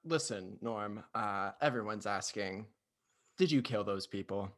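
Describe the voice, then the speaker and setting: A man playing a hesitant, candid talk show host in an improvised comedy parody, speaking haltingly. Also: lowish voice, pitched below the performer's own voice. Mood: probing.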